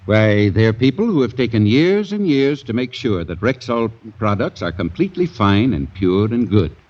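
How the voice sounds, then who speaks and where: A man's voice: on the low side, big pitch swings, moderate pace, darkish tone, muffled recording. A man playing a kindly, patient family druggist in a folksy 1940s radio advertisement, on an old recording. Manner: folksy, explanatory.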